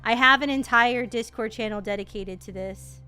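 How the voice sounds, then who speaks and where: Female game streamer, rambling and sweary, bright forward voice, animated casual commentary over soft music. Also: a little high, normal speed.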